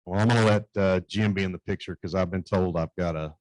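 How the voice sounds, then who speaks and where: A man speaking from a conference stage, casual and self-deprecating, with a low voice he calls a voice for radio. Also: steady tone, fast, no echo.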